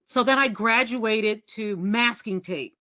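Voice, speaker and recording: A middle-aged woman journalist from the US, telling candid stories as a podcast guest in a low, resonant voice. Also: slow, no echo. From United States. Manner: lively, candid and self-deprecating, laughs often. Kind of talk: casual storytelling interview.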